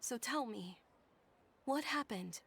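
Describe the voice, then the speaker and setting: An actress playing a gentle young noblewoman in a video game, speaking politely and in a measured, formal way. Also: a little high, lively intonation, gravelly, husky, no echo, full character voice. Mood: gently probing. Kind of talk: video game character acting.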